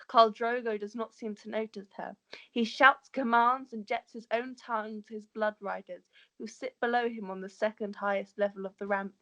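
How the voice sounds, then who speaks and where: A woman's voice: a little high, fairly bright tone, muffled recording. A woman reading scene descriptions as narrator in an amateur script table read. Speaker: narrator reading stage directions.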